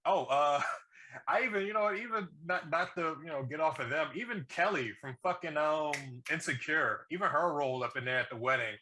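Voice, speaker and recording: A man co-hosting a casual review podcast, talking in an excitable, slangy way and swearing freely. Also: on the high side, brisk, a little hiss or hum. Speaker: podcast co-host reviewing a film. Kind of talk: casual banter.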